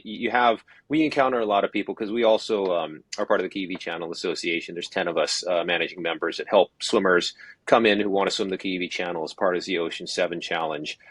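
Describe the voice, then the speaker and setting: A man's voice: sits low, fairly bright tone, slightly rough, no room sound. A man, a guest on a podcast, talking casually and thoughtfully about organizing open water swims, often restarting his sentences. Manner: thoughtful, rambling with frequent restarts. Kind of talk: casual interview chat.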